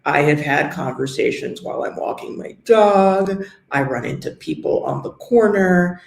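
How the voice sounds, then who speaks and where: Middle-aged New York woman, gravelly and fairly high, understated and explanatory; interview guest in an echoey room. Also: lively intonation, normal speed, a little mellow. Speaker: guest, luxury real estate agent. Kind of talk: interview conversation.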